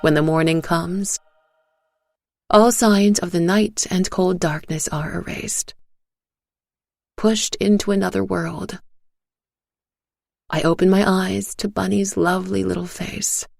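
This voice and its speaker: A woman narrates fiction slowly, with audible fry in a lowish voice. Her delivery is scripted, soft and measured, with a dramatic touch. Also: steady tone, no room sound, studio quality. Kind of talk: scripted first-person story narration.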